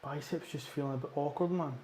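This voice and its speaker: A man's voice: a little high, steady tone, fast, a little mellow, slight background noise. Congested Scottish man, roomy echo. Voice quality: congested, sniffly. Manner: informal, mildly sweary. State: getting over a cold. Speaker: fitness vlogger. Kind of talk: casual workout vlog chat and rep counting. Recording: roomy, echoing sound.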